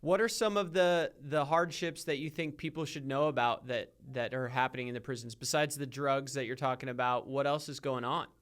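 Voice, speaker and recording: Clear-voiced male podcast interviewer. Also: mid-range voice, steady tone, a little fast, hardly any pauses, fairly bright tone. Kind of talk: casual interview.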